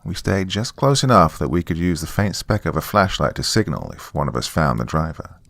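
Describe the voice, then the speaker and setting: A man with a very low voice narrates a scary story in the first person. His delivery is suspenseful and deliberate, leaning on dramatic pauses, and the sound is close and very dry. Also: lively intonation, gravelly, fairly bright tone, no echo.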